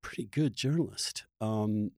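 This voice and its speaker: A man hosting a conversational political discussion, speaking emphatically and haltingly, often repeating words as he builds a point. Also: lowish voice, vocal fry, darkish tone, dry recording, clean studio sound. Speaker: podcast host interviewing a guest.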